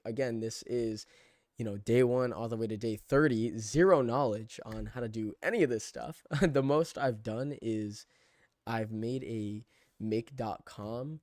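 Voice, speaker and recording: A young man of twenty talks in a casual, wandering way, like someone vlogging over a screen share. His pitch sits in the middle range for a man. He is self-deprecating and drifts off on side thoughts, recorded dry with very little room sound. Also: a little mellow, dry recording. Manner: self-deprecating, loose and digressive. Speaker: vlogger documenting a personal challenge.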